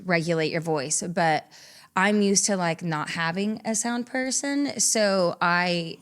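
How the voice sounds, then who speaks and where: Give me a chatty woman, slightly low. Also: takes it slowly, a bit bright. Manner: chatty, rambling, laughs often. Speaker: singer-songwriter guest. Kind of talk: casual interview chat. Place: small recording studio.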